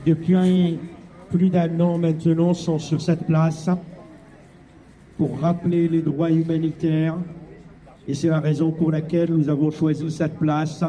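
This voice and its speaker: A man's voice: a little high, level tone, unhurried, warm tone. A man making a public address at an outdoor gathering as speaker for a collective. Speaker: event speaker for a citizens' collective.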